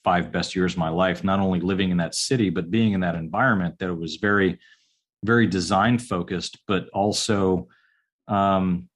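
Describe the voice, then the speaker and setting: A man in his forties, an architect, talking about his schooling in a relaxed, halting way with many pauses and restarts. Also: low-pitched. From upstate New York. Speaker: architect, podcast guest. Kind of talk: casual interview answers.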